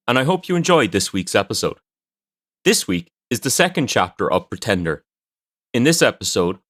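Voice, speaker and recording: A man's voice: on the low side, a bit bright, dry recording, studio quality. A man presenting a story podcast in a scripted narration style, as host and storyteller. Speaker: podcast host and fiction writer. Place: indoors at a desk at home.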